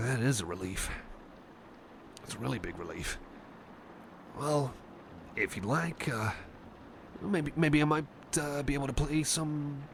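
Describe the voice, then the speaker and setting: Man playing a shy, stammering, gravelly cyborg friend. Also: mid-range voice, a little hiss or hum. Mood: relieved, tentative. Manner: stammering, hesitant, apologetic. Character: shy, anxious, affectionate young male cyborg friend. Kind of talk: ASMR roleplay, intimate one-sided chat.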